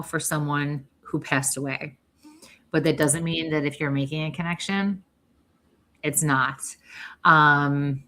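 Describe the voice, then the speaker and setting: A woman hosting a live-streamed spirit reading as a psychic medium, chatty and often clearing an irritated throat. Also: low voice, fairly bright tone. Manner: chatty, keeps clearing her throat and coughing.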